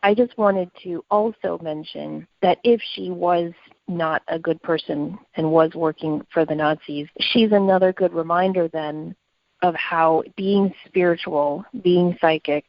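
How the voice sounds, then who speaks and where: A woman's voice: low-pitched, steady tone, a little slow, dry recording, lo-fi sound. A woman co-hosting a conversational podcast, speaking in an earnest, opinionated way. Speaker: podcast co-host.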